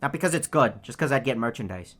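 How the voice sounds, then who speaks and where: A low-pitched woman acting as a snarky game bird. The delivery is deadpan and sarcastic, full of mockery. This lazy, greedy heckler taunts like a bored cartoon sidekick. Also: fast talker. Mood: deadpan mocking. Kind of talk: scripted video game character taunts.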